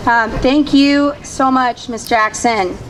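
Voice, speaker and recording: High-pitched woman emcee with a strained voice. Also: takes it slowly. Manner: friendly, chatty introductions. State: losing her voice. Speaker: rally emcee. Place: outdoor rally. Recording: noisy outdoor rally.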